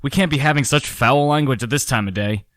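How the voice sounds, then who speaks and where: A male actor as a self-mocking stand-in radio host in a comic drama. His voice sits at a medium pitch in a dry studio sound, chatty and full of showmanship. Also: brisk, fairly bright tone, dry recording, close to an everyday voice. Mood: nervous cheer. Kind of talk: parody radio call-in presenting.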